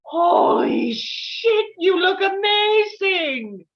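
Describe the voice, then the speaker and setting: Lady doing a crude fed-up sick bridesmaid, high voice, slow delivery, bit dull audio. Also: animated, a crowd in the background, full character voice. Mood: dramatic amazement. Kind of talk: comedy film table read.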